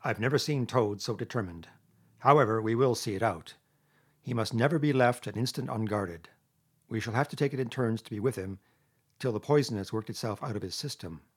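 A man's voice: mid-range voice, a little fast, slightly rough, close to an everyday voice. A man voicing a stern, fatherly badger in a storybook, speaking in a solemn, firm, oratorical manner. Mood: weary, resolute. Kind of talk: storybook character reading.

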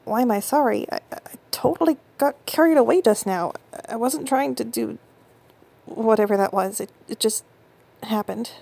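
A woman playing a husky, intense young girlfriend. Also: medium pitch, level tone, full character voice. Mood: flustered, apologetic. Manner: soft breathy whispering, halting stammers. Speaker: audio roleplay performer. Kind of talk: intimate audio roleplay.

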